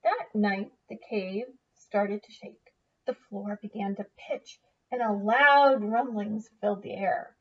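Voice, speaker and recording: A woman's voice: mid-range voice, slow pace. A woman teacher reading a children's picture book aloud expressively, in a distant, slightly muffled recording.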